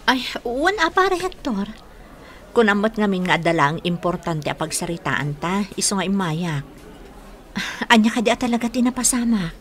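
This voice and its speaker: Radio drama: married Ilocano mother, mid-pitched, bright voice, earnest and firm. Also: very animated, moderate pace. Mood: flustered, firm. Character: married young mother, dutiful daughter, firm and flustered.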